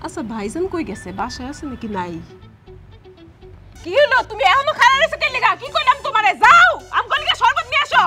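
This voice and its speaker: A woman's voice: a little high, very animated, quick pace, hardly any pauses, loud music behind the voice. A woman acting a demanding, quarrelsome married daughter in a family drama, with a Bangladeshi accent. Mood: bossy. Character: bossy, indignant married daughter visiting her family home.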